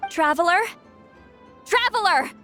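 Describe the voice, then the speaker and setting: A woman playing a proud, shrewd young shaman in an animated game, brusque and self-assured. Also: extremely high pitch, unhurried, heavily acted. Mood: startled. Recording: music under dialogue. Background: game music.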